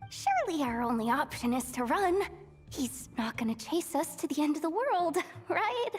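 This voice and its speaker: An actress voicing an excitable, anxious young adventurer in a video game, with an informal, emphatic, sometimes hesitant manner. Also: female voice, sits high. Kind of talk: video game character acting.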